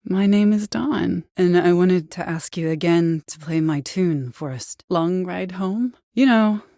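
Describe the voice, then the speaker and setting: An actress playing a woman caller in a video game, by turns desperate, coaxing and menacing, with a hushed, insistent way of pleading. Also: on the low side, unhurried, no echo, studio quality. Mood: sweetly insistent. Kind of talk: video game voice acting, dramatic dialogue.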